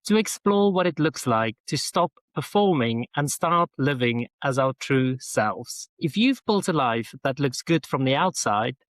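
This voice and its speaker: A man's voice: medium pitch, animated, measured pace, few pauses, no echo. A middle-aged man hosting a podcast, introducing his guest in an earnest, enthusiastic way. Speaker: podcast host. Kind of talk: scripted podcast intro and interview.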